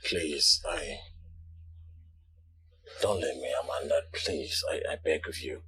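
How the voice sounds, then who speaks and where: A devoted husband who begs his wife to stay. His voice is extremely deep, and he speaks slowly and tenderly. His words come out soft and pleading. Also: full character voice.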